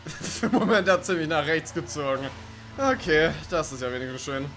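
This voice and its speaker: A man's voice: a little high, lively intonation, moderate pace, a bit bright, slight background noise. A man giving casual, sarcastic let's play commentary with chuckling asides. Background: game music and car sounds.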